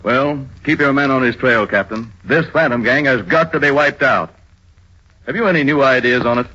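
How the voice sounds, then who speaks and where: Police chief, commanding, curt, forceful husky voice, vintage radio acting, dull recording. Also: male voice, mid-range voice, moderate pace. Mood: stern. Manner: authoritative, curt. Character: stern, commanding big-city police chief. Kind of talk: 1930s radio drama acting.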